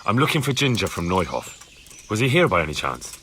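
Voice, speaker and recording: A man's voice: sits low, sing-song, brisk, sharp tone, slight background noise, full character voice. An actor playing a determined young man in a medieval video game, questioning people in an insistent, direct way. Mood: searching, earnest. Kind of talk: video game cutscene dialogue.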